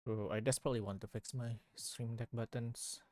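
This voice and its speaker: A man's voice: medium pitch, fairly even intonation, brisk, a little mellow. A man streaming a game, chatting casually and reading dialogue aloud as he comments.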